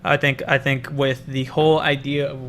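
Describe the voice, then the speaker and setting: A man chats casually about film as a podcast host, with a mid-pitched voice. He restarts phrases often and circles back on his words, over faint room noise. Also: level tone, moderate pace, a bit bright. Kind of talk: casual movie discussion.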